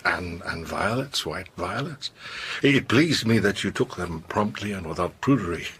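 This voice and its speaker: A watchful, earnest schoolmaster in a period audio drama. His voice is low and murmured, tender and halting. Clear background ambience surrounds him. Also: animated, fairly bright tone, a little hiss or hum. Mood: tender, hesitant. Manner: hesitant, murmured, repeating words.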